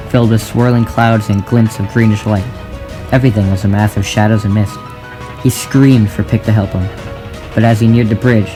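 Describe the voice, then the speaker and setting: A man's voice: lowish voice, poor audio. A man narrating a scripted story in a dramatic style, with music behind his voice. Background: clear music.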